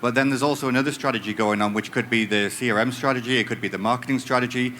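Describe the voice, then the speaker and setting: A man's voice: mid-range voice, steady tone, rapid-fire, bright, forceful tone, a little hiss or hum. A man speaking as a panelist at a conference, explaining with many ums and restarts. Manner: hesitant, many fillers. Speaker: panelist, business director. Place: conference stage.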